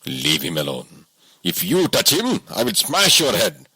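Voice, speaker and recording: A man voicing a calm, commanding king disguised as a farmer in an audiobook story. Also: fairly low pitch, unhurried, breathy edge, dry recording, clean studio sound, exaggerated voice.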